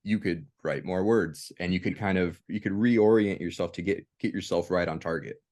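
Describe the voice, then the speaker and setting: Very deep-voiced, halting man. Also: a little mellow. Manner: halting, hesitant, circles back to rephrase. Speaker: host of a veterans' discussion group. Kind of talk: casual reflective group discussion.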